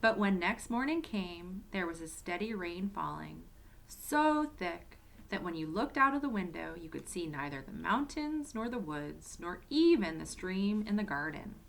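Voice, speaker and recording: A woman's voice: fairly low pitch, lively intonation, unhurried, gravelly, a bit bright. A woman reading a children's story aloud as a storyteller, expressively and addressed to young listeners.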